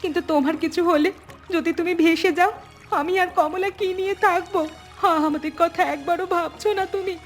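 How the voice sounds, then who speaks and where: A woman's voice: very high-pitched, steady tone, brisk, faint music behind. A woman playing an anxious, resentful village wife and mother in a cartoon, scolding and pleading. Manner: sharp-tongued, pleading. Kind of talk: cartoon dialogue.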